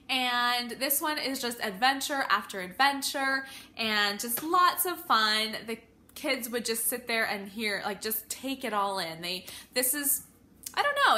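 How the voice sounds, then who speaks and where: Bright-voiced woman chatting in a casual vlog. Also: fairly high pitch. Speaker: homeschooling parent vlogger.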